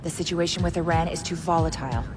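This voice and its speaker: A woman plays a stern intelligence officer in a lowish voice with long reverb around it. She is firm and reproving, a cautious spy game character, with music behind. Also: fairly even intonation, fast, lightly acted. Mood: disapproving. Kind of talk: video game cutscene acting.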